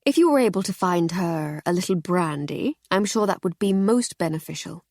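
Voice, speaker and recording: Brief: woman voicing a plain, exasperated male inspector, low register, dry. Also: lively intonation, normal speed, darkish tone, no room sound. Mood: polite.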